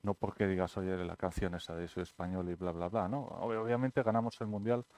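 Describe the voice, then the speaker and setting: A man's voice: sits low, a little fast, dry recording. A middle-aged man from Spain, the author, telling anecdotes at his book presentation and acting out the dialogue. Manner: lively storyteller, acts out quoted dialogue.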